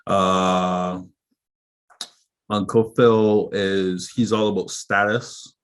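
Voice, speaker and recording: A man co-hosting a podcast, talking reflectively in casual chat with halting restarts. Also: deep voice, steady tone, clear, resonant tone. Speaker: podcast co-host.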